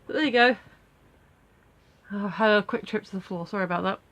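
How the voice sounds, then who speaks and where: A woman talks into her camera at home, her nose stuffed up and snuffly from a cold. She rambles along casually, laughing at herself and filling gaps with ums and sighs, in a mid-pitched voice. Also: a little fast, fairly bright tone. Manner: rambling, self-deprecating, many fillers. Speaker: vlogger. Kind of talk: casual daily video diary.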